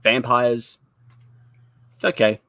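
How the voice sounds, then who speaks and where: A man's voice: mid-range voice, steady tone, slow, sharp tone, no room sound. A man co-hosting a casual review chat, talking in a rambling, informal and repetitive way. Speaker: show co-host.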